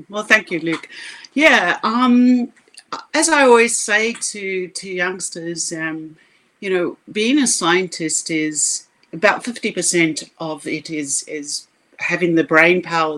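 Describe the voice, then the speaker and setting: A middle-aged woman with a South African accent talks as a guest scientist in a podcast interview. Her voice is bright and clear-edged. She speaks earnestly, with emphatic, passionate conviction. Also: on the low side, measured pace.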